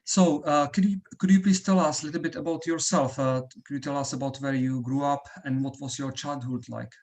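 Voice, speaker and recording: A man's voice: fairly high pitch, fairly even intonation, fairly quick, darkish tone. A man interviewing a guest over a video call, polite and hesitant with frequent fillers.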